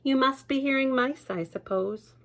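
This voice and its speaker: A woman reading aloud, voicing a calm, matter-of-fact mother who brushes off her child's worries. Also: high voice, normal speed, voice pushed up. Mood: dismissive.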